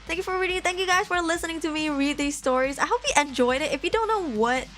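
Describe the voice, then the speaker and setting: A young woman from Malaysia chats with viewers on a live stream in a voice pitched a little high. She laughs often, and her pitch leaps when she gets excited. Faint music plays behind her. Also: quick pace. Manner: chatty, laughs often, lively jumps in pitch. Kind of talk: casual live-stream chat, reading donation messages. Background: faint background music.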